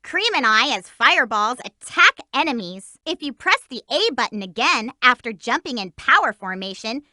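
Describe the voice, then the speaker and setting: A woman's voice: on the high side, big pitch swings, moderate pace, sharp tone, no echo, full character voice. A woman voicing a peppy, lovestruck young female hedgehog heroine for a video game, bubbly and bossy with chirpy exclamations. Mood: instructive. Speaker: voice actress. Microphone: studio mic.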